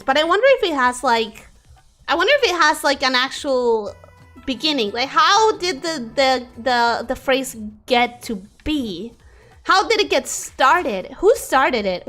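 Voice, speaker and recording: A young woman streaming a game, her first language Spanish, with a high, bright voice that leaps all over the place. She chats away casually, reacting to the game and answering chat. Faint game music plays underneath. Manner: chatty, reacts to the game and reads chat aloud.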